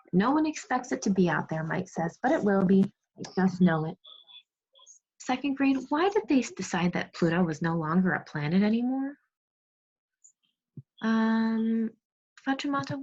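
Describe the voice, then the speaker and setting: A woman teaching second grade over a video call, reading aloud, giving clear instructions and prompting pupils with questions. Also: mid-range voice, big pitch swings, moderate pace, darkish tone. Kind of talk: interactive read-aloud lesson on video call. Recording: dull, muffled video call audio.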